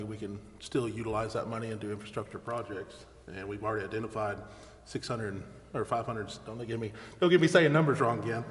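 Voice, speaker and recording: A man presiding over a city council meeting, speaking in formal procedural style in a council chamber. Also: lowish voice. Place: large indoor council chamber. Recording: echoey council chamber with clear background noise.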